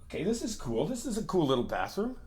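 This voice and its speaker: A young man in an ASMR comfort roleplay, talking to the listener as a reassuring friend in a chatty way with soft laughs. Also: fairly high pitch, huge pitch swings, fast, breathy edge.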